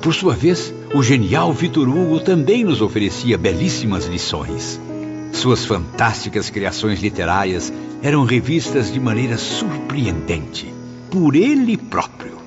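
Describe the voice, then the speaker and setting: A Brazilian man playing an admiring spirit narrator, telling an audiobook story in expressive, literary language. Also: medium pitch, measured pace. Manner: expressive, formal. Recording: slightly dull audio over music.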